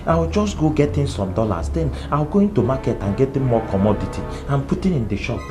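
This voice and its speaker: A woman's voice: rumbling low, lively intonation, fairly quick. A woman playing an anxious shopkeeper who speaks broken English, pleading and repeating words. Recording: music playing underneath.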